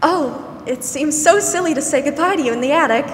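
A woman's voice: sits high, brisk, natural voice. A woman acting a kindly, patient older country spinster in a 1940s-style radio drama. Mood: chatty. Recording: roomy stage hall, faint noise.

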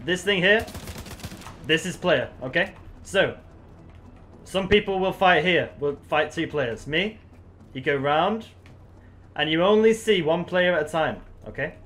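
A man's voice: a little high, animated, takes it slowly, pauses often, fairly bright tone, a little hiss or hum. A man in casual voice chat, friendly and joking, explaining game tactics with lots of repetition on a desk mic. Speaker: streamer and game player. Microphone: desk microphone.

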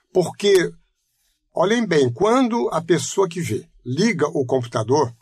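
Older guy in his 70s, rio accent, gravelly and husky, dry close sound, talks slow and thoughtful, lots of "né". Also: on the high side, no echo. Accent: Rio de Janeiro Portuguese. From Brazil. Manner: measured, reflective, explanatory, frequent "né?" tags. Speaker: interviewee, retired teacher. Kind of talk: oral history testimony.

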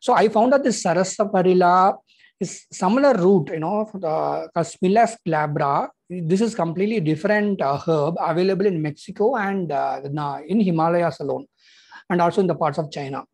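A man in his thirties from Tamil Nadu, speaking English with a Tamil accent, talking casually and haltingly to camera as a health insurance consultant, with many "uh" and "you know" fillers. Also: high voice, darkish tone, husky. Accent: Indian English, Tamil. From Tamil Nadu, India. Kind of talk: casual informational vlog.

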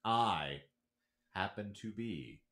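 Low-voiced man hosting in an echoey room. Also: expressive, slow pace, sharp tone. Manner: wordy, theatrical, playful. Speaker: podcast host. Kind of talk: casual podcast banter with florid sign-offs. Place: small home room with couch, indoors. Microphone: podcast mic on a stand. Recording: roomy, echoey living-room sound.

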